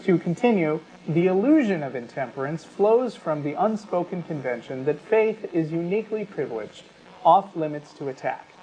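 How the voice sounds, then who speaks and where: A man's voice: fairly high pitch, lively intonation, moderate pace, mellow. A man co-hosting a talk show, mixing conversational commentary with passages read aloud. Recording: dull lo-fi audio with clear background noise.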